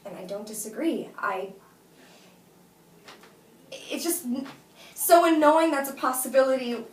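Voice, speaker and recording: An actress playing an exasperated but fond woman gently turning down her best friend, in naturalistic, hesitant film dialogue. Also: high voice, animated, measured pace. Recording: roomy, distant mic with faint noise.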